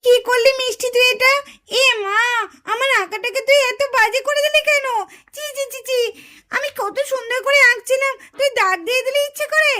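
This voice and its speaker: Indignant little cartoon girl, acted by a woman, extremely high, bright, plaintive. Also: fairly quick. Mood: upset, accusing. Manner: plaintive, accusing. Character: wronged, indignant little girl accusing another child. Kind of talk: Bengali puppet-show cartoon acting.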